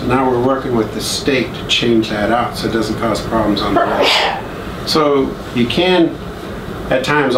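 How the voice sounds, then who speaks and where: A man's voice: mid-range voice, background noise. Man lecturing, echoey room, distant mic. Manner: relaxed, joking storyteller. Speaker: guest speaker. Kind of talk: informal lecture with anecdotes.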